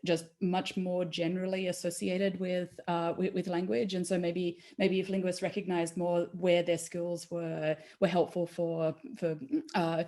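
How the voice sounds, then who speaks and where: A woman moderates an online panel discussion, her voice somewhat low and warm in tone. She talks haltingly, restarting phrases and leaning on fillers as she passes questions to the panelists. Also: little pitch movement. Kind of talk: panel discussion over video call.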